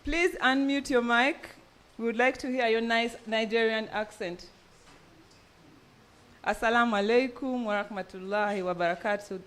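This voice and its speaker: High-voiced Ugandan woman moderating a panel. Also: moderate pace, a bit bright. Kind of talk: formal spoken introductions of panelists. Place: event venue, speaking live to an audience.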